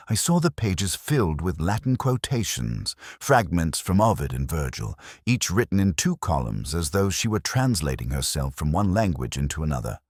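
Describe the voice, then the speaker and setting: A man playing a brooding, erudite middle-aged detective, narrating an audio drama in measured, reflective, literary phrases. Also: extremely low pitch, a little mellow, slightly rough, no echo, studio quality, heavily acted. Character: brooding, erudite male English police detective, melancholy, observant.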